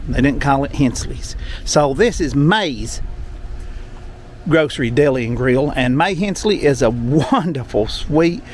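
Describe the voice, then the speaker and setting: A man's voice: on the high side, slight background noise. A man, talking casually to viewers in a travel vlog, folksy and chatty, calling them folks. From Appalachian Kentucky. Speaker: travel vlogger. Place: in a car and a country store.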